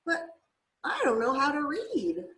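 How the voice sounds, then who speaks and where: In a roomy space, a woman plays a puzzled young male dog from a storybook. The voice is high and expressive, with a hesitant, protesting way of talking. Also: measured pace, heavily acted. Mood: sheepish. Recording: roomy, echoing indoor sound.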